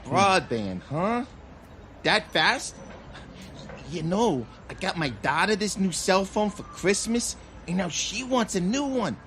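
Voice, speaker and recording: An actor playing a chatty, bemused hospital security guard in a humorous audio drama, with folksy, incredulous talk. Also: male voice, high-pitched, animated, slow, fairly bright tone, a little hiss or hum, full character voice. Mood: bemused, grumbling.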